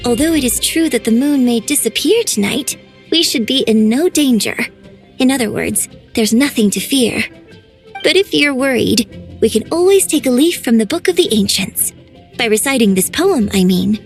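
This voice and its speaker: An actress playing a polite, composed young woman in a video game, who speaks in a formal and measured way. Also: sits high.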